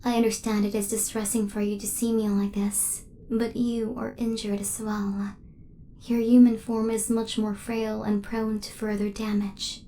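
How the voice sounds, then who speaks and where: Girl doing a devoted robot lady, asmr roleplay, soft n mellow, very even tone, stiff formal wording, some ambient noise behind. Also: mid-range voice, measured pace, lightly acted. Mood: calm, reassuring. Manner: formal, precise, clipped machine-like phrasing. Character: devoted female hunter robot turned protective companion.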